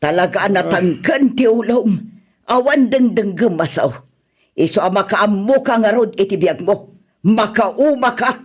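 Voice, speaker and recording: A woman's voice: mid-range voice, expressive, a little slow, breathy edge. A weary mother in an Ilocano radio drama, acted by a woman. She nags and reproaches in an exasperated, scolding way, with an Ilocano accent. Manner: nagging, reproachful.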